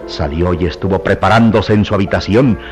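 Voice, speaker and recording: A man narrating a mystery radio drama in a grave, deliberate, literary style. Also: low voice, a little mellow, dull audio, soft background music, lightly acted. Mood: solemn, dramatic. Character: solemn male narrator of a mystery serial.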